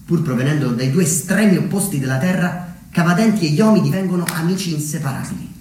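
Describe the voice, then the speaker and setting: A man delivering a scripted theatrical monologue as a storyteller, measured, with deliberate dramatic pauses. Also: medium pitch, a little mellow. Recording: very echoey room, distant mic, slight noise.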